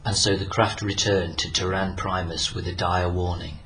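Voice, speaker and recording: A man narrating a scripted science fiction story in a solemn, measured, dramatic storytelling manner. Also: low voice, steady tone, background noise. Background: loud music.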